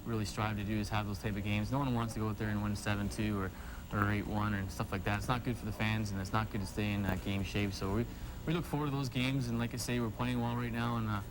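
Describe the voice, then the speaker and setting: Quick-talking male hockey player from Canada. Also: lowish voice, level tone, background noise. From Timmins, Ontario, Canada. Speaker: hockey player, team captain interviewed. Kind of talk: casual sports interview answers.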